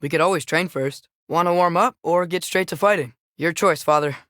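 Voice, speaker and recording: A man's voice: fairly high pitch, a little fast, fairly bright tone, no echo, studio quality, close to an everyday voice. A young man playing an eager, earnest, curious teenage boy, a companion in a video game, fighting beside his father.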